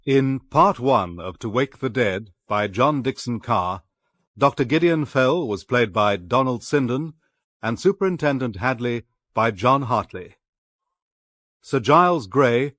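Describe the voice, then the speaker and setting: Slow, formal male radio announcer. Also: mid-range voice, a bit bright, no room sound, clean studio sound. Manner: formal, measured. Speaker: continuity announcer. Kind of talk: scripted radio credits announcement.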